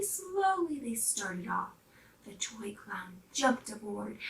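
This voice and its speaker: High-pitched, animated woman reading to children. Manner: animated, addressing young children. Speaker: storybook reader. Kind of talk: expressive children's read-aloud. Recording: roomy, slightly distant microphone.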